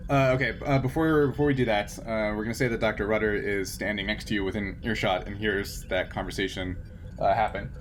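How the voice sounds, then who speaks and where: A man's voice: on the low side, a little fast, slight background noise. A man running a tabletop game as game master, narrating casually with many fillers. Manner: relaxed, filler-heavy narration.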